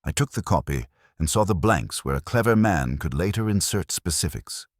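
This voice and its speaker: A man reading a first-person detective story as a scripted audiobook, in a dry, measured storytelling manner. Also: deep voice, lively intonation, dry recording. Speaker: narrator and reader of a detective story.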